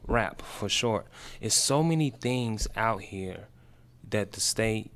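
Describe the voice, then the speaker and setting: Smooth low-voiced young man. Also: expressive, slow, a bit bright. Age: 20s. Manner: open, encouraging, testimonial. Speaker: guest, peer specialist. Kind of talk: casual interview chat. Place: small radio studio, indoors. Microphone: desk mic on stand.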